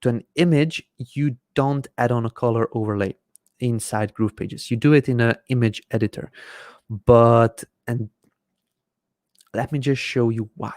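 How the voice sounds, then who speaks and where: A man in his thirties whose first language is Hungarian, hosting a live Q&A and explaining software step by step from a desk microphone in a small room. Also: mid-range voice, measured pace, no room sound, clean studio sound. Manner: explains step by step while clicking through software. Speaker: host answering viewer questions. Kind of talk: live Q&A with screen-share demonstration. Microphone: desk microphone in front of face.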